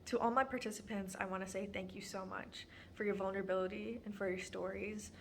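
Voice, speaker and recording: A woman's voice: medium pitch, steady tone, a little fast, a little hiss or hum. A young woman from Texas introducing her student documentary in an earnest, prepared way with frequent ums. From Houston, Texas. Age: young adult.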